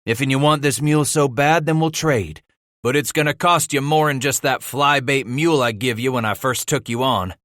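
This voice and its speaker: A man's voice: medium pitch, normal speed, a bit bright, no room sound, clean studio sound, voice pushed up, lightly acted. A man voicing a big, hot-tempered frontier trapper in an audiobook, growling in rustic dialect. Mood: sly, gruff bargaining.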